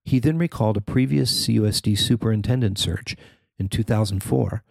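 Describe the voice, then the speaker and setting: A man's voice: fairly low pitch, brisk, gravelly, a little mellow, no room sound. A man reading a scripted news report as its reporter and narrator.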